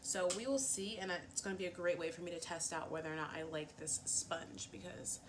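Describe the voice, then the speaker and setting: A woman's voice: on the low side, fairly quick, background noise. A woman vlogging a casual get-ready-with-me chat, a little sniffly, full of fillers like "um" and "like". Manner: chatty, lots of fillers and "like". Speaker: beauty vlogger.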